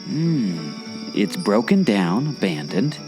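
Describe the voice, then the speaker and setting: A man's voice: lowish voice, big pitch swings, takes it slowly, music in the background. A man playing a naive, curious sidekick with a taste for corny jokes in a scripted audio drama. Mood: puzzled. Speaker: curious companion.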